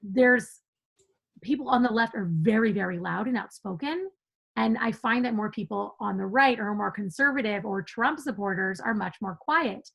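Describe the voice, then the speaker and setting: A woman's voice: mid-range voice, normal speed, vocal fry, a bit bright, breathy edge, rough recording. A woman guest on a podcast, speaking with passion and emphasis in a conversational interview, laughing now and then.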